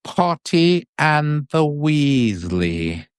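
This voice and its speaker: A man's voice: a little high, very animated, very slow, clean tone, no room sound, clean studio sound, pitched above the performer's own voice, exaggerated voice. A man voicing a spoiled schoolboy bully with a taunting, lazy drawl in a bedtime storybook reading. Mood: mocking. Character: Draco Malfoy, sneering, spoiled schoolboy bully.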